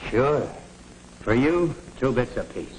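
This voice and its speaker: A slick, hard-boiled small-time pusher in a 1950s educational film. His medium-pitched voice swings with casual, slangy toughness. The vintage soundtrack has faint noise. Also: expressive, takes it slowly, darkish tone, rough recording. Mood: hustling, businesslike. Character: slick, callous small-time drug dealer.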